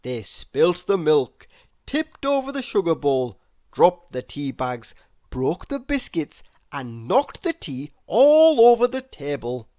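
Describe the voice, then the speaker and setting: A woman narrating a children's picture book aloud in an expressive, deliberate, theatrical storytelling manner. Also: low voice, dry recording. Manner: deliberate, theatrical, with playful exclamations.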